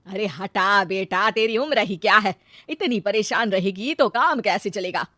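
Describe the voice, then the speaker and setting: A woman's voice: medium pitch, measured pace, pushed and bright, no room sound, clean studio sound. A woman voicing a protective middle-aged mother in a family story, with a coaxing, motherly manner.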